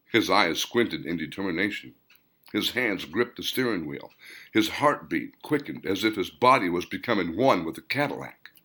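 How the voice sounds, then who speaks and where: A man reading his own novel aloud with steady, descriptive storytelling. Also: sits low. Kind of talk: audiobook narration.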